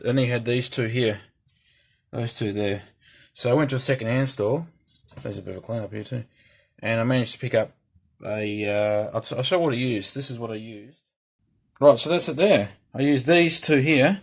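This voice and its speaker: A man giving a casual, rambling, unscripted show-and-tell as a hobbyist, halting as he goes, with a slightly husky voice. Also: mid-range voice, darkish tone. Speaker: hobbyist presenter showing a restored CB radio.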